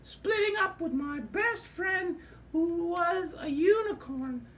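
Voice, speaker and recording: Man doing a squeaky, very high puppet girl. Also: expressive, slow pace, a bit bright, slight background noise, exaggerated voice. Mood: plaintive. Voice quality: squeaky falsetto. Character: eager puppet girl heroine, sweet and plaintive. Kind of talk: puppet show dialogue.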